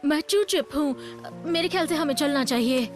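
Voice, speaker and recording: A woman's voice: sits high, normal speed, faint music behind, close to an everyday voice. A woman voicing a timid, anxious young cartoon deer with a nervous, pleading manner. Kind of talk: animated cartoon dubbing.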